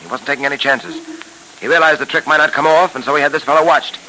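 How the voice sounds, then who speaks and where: An actor in a 1930s radio serial playing a confident police detective hero with clipped, authoritative delivery. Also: male voice, on the high side, quick pace, pushed and bright, poor audio. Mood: grimly shrewd. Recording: hissy old 1930s radio recording.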